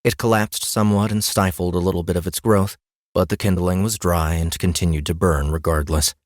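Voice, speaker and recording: Man, low voice, fantasy audiobook narration, dry close studio sound. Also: steady tone, fairly quick, no room sound. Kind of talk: scripted fantasy fiction narration. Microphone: close studio mic, dry booth.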